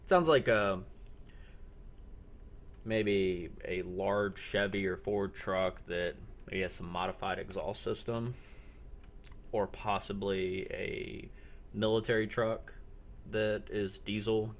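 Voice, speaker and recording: Man, lowish voice, game master, improvised narration, halting descriptive phrasing. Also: fairly even intonation.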